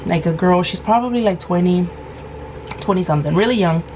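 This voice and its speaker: A woman in her thirties with a hoarse, sore throat, telling a casual storytime vlog and acting out the quoted lines. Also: fairly low pitch, fairly quick, slight background noise, poor audio. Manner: animated, acts out quoted lines. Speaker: vlogger.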